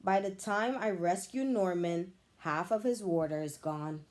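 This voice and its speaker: A woman, a teacher, reading a children's picture book aloud with expressive, animated storytelling. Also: fairly low pitch, measured pace.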